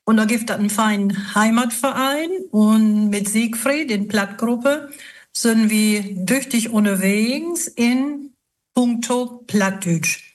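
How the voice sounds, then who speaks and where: A woman in her sixties from East Frisia with an East Frisian Low German accent, speaking slowly and deliberately as a guest. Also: recorded from a distance. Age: 60s. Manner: slow, deliberate.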